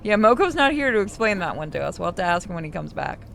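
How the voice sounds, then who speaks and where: A woman streaming a game, with a middle-pitched voice. She gives casual commentary to friends and is informal, sweary and quick to exclaim. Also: very animated, vocal fry, fairly bright tone, a little hiss or hum.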